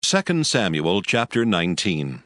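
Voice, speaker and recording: A man's voice: low voice, sing-song, measured pace, a bit bright, soft background music. A man narrating scripture from a script in a solemn, storytelling manner.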